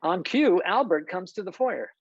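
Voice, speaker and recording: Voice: mid-range voice, animated, moderate pace, slightly rough, dry recording. A narrator reads screenplay scene headings and stage directions as scripted narration over a video call. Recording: slightly dull video-call audio.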